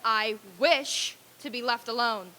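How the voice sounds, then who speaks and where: Woman as grumpy old miser, high-pitched, forceful, curt, scornful, theatrical radio-play delivery. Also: measured pace. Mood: cold, sardonic. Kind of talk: old-time radio play stage reading.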